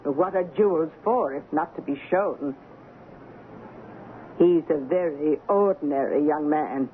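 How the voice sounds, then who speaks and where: Bossy rich old lady in her 80s, slow and blunt, tinny hissy old radio play. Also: low voice. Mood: haughty, challenging. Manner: blunt, haughty, lecturing. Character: imperious, fearless eighty-year-old wealthy woman. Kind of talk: 1970s radio drama acting.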